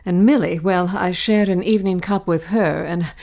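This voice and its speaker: A woman's voice: on the low side, moderate pace, darkish tone, close to an everyday voice. An actress playing a scheming, impatient, seductive woman in a period melodrama, with a sly theatrical delivery. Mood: gloating. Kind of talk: period melodrama audio drama acting.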